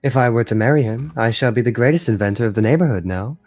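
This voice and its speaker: Performer acting an airy, proud young gentlewoman. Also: mid-range voice, quick pace, lightly acted. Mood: lofty, dismissive. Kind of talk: dramatic amateur game dub.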